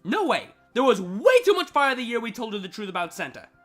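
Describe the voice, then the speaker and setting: A woman's voice: on the high side, big pitch swings, fairly quick, fairly bright tone. A woman playing a furious, menacing young female villain in a dramatized fan fiction reading, with indignant, emphatic outbursts. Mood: exasperated. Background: background music.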